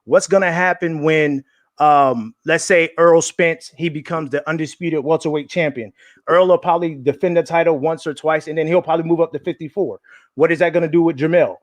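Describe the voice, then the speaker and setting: A man with a fairly high voice and a dry, close sound, weighing in as a guest on a boxing talk show. He argues in an earnest, emphatic way and repeats his points. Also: steady tone, a little fast, no room sound. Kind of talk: casual opinion talk.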